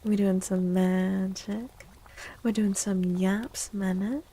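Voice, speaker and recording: A woman speaks softly in an ASMR livestream style, often half-whispering. Her voice is dark and breathy with plenty of creaky fry. She murmurs gently and takes her time as she chats. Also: mid-range voice, steady tone, takes it slowly. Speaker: livestream host and tarot reader. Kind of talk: soft-spoken ASMR livestream chat.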